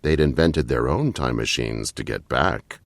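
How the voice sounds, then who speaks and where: A man narrating a children's bedtime story, reading aloud in an expressive, deliberate way. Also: rumbling low, no room sound, studio quality.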